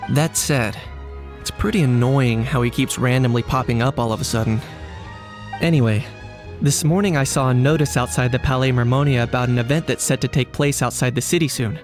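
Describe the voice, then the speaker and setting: An actor playing a pragmatic, unbothered young man in a video game, speaking in a measured, matter-of-fact deadpan. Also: medium pitch, soft background music, close to an everyday voice. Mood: dry, casual. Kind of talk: English video game dub acting.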